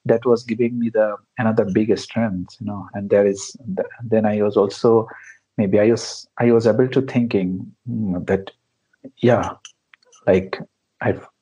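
Slow gravelly man from Bangladesh. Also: on the low side, mellow, poor audio. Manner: hesitant, many fillers and restarts, non-native phrasing. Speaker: interview guest, founder of an education project. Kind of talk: conversational interview answers.

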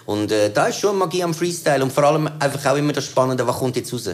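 Brief: male rapper, mid pitch, rapid excited slangy talk. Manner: enthusiastic, slangy, restarts often. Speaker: rapper, interview guest. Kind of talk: casual interview chat.

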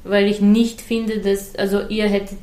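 A woman's voice: mid-range voice, little pitch movement, moderate pace, mellow, roomy sound. A woman whose first language is Serbian, a novelist guest speaking in a hesitant, thoughtful way with many fillers in a casual conversation.

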